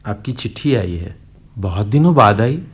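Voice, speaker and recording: Radio drama: man playing friendly postman, mid-pitched with lively swings, faint noise behind. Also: moderate pace, natural voice. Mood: friendly, consoling. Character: friendly, pious neighbourhood postman. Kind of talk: Hindi radio play acting.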